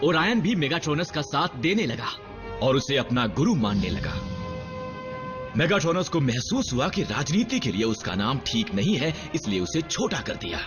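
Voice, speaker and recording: A man playing a grave veteran robot soldier in a dubbed cartoon, telling war history in a measured, solemn way. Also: medium pitch. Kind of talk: dubbed animated series dialogue and narration. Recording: muffled sound with loud dramatic music.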